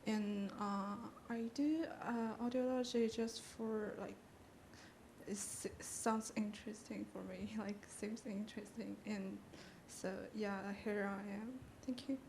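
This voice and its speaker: A woman speaks slowly and hesitantly, pausing often. Faint noise sits behind her voice. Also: mid-range voice, steady tone, creaky, darkish tone, rough recording. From China. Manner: hesitant, many fillers. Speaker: student.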